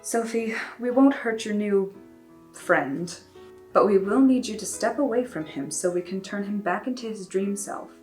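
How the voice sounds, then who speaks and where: A woman voicing a stern but gentle mother who speaks in a firm, measured way. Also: mid-range voice, faint music behind, natural voice.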